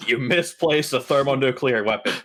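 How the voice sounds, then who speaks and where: A man's voice: mid-range voice, normal speed, a bit bright. A man playing a tabletop roleplaying game, chatting casually with a joking, sweary manner.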